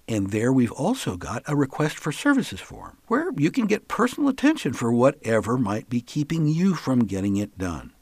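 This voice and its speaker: A man hosts a talk radio show with a lively, swinging intonation. He chats in a folksy, conversational way. The sound is dry, with hardly any room in it. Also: on the low side, breathy edge, no echo.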